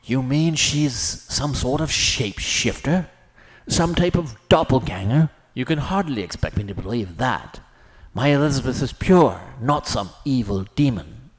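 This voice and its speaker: Man acting as earnest young gentleman, lovesick, naive, unhurried, dramatic, pitched well up from the reader's own voice, storybook dialogue. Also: animated, natural voice, low-quality recording. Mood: indignant. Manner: old-fashioned, theatrical, emotional phrasing. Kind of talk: audiobook character dialogue.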